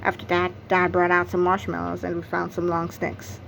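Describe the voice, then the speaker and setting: A woman's voice: on the low side, completely flat delivery, moderate pace, vocal fry, fairly bright tone, slight background noise. A woman narrating a read-aloud of a children's comic novel, acting out its exclamations and sound effects. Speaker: book narrator.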